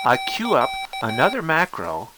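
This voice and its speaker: A man's voice: fairly high pitch, huge pitch swings, moderate pace, low-quality recording. A man narrating a step-by-step technical tutorial, explaining things directly to the learner as an instructor. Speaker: instructor demonstrating a Morse code keyer.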